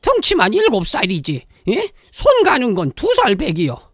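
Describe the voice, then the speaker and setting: Husky, wildly animated cranky old grandmother. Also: fairly high pitch, normal speed, dry recording, clean studio sound. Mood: angry, exasperated. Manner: grumbling, cursing, full of exasperated sighs. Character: cranky, foul-mouthed old Korean grandmother, scolding and resentful. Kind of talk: Korean audio drama acting.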